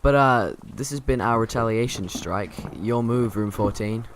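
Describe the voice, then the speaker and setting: Man playing a cocky student prankster, lowish voice. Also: measured pace, background noise. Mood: cocky, gloating. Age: young adult. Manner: casual, gloating. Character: cocky eighteen-year-old university student prankster. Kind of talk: naturalistic radio drama acting.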